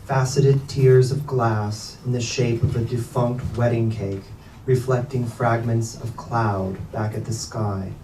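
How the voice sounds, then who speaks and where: Slow, mellow man reading literature aloud. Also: medium pitch, fairly even intonation. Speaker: reader at a staged book reading. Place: bookstore event space. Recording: echoey room, distant mic, background noise.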